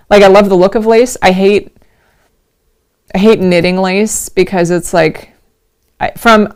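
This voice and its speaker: Slightly hoarse woman chatting casually. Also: medium pitch, a little mellow. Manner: chatty, rambling, laughs often. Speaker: host of a knitting livestream. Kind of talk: casual livestream chat.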